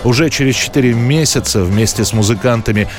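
A man's voice: mid-range voice, fairly quick. A man reading scripted documentary narration as the narrator of a history programme. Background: faint background music.

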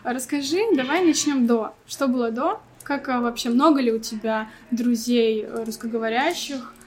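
Higher-voiced woman asking questions. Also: normal speed, a little mellow. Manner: friendly, informal questioning. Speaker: interview host. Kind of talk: casual interview questions.

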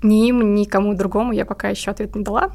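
Casting: female interview guest, medium pitch, casual conversational delivery, informal explanations. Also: fairly even intonation, quick pace, creaky, darkish tone. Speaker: interview guest, comic artist.

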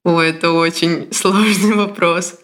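A woman's voice: fairly low pitch, steady tone, measured pace, fairly bright tone. A woman in her late twenties, a costume designer guest, chatting casually and telling stories with frequent giggles. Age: late 20s. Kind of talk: casual podcast chat.

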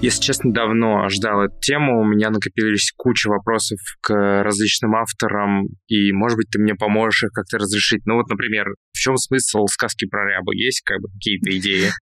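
A man's voice: fairly low pitch, steady tone, a little fast, few pauses, a bit bright, no room sound. A man co-hosting a podcast in casual, conversational talk. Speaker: podcast co-host and parent.

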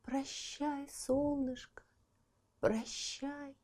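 A woman voicing a tiny, gentle girl in a children's audiobook, speaking softly and wistfully. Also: sits high, level tone, extremely slow, pitched above the performer's own voice. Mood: sad farewell. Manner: soft, wistful and quiet. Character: tiny sad gentle girl, soft-spoken. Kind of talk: storybook audiobook acting.

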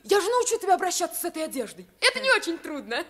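An actress playing a lively, bossy young boy prince in a radio play. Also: very high-pitched, racing, full character voice. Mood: playful, bossy. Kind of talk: Russian radio play acting. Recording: old 1950s radio recording.

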